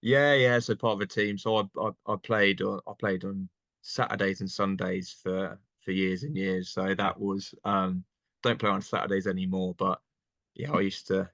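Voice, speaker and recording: A young man from England chatting casually as an interview guest, relaxed and laughing often. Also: low-pitched, dry recording, muffled recording. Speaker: guest, online seller.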